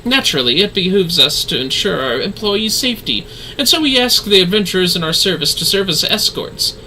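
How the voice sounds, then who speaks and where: A woman voicing a composed, gracious female leader in a fantasy game, speaking in formal, archaic, measured phrases. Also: mid-range voice, animated, sharp tone, slight background noise, poor audio. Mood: gracious, apologetic.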